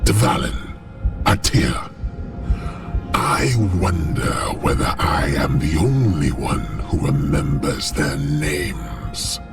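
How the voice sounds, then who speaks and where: A male actor voicing a menacing, seductive dragon lord in a fantasy game, theatrical and taunting, with a gravelly voice. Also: a bass voice, sing-song, takes it slowly, heavily acted. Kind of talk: dramatic fantasy game villain acting. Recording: loud music under the voice.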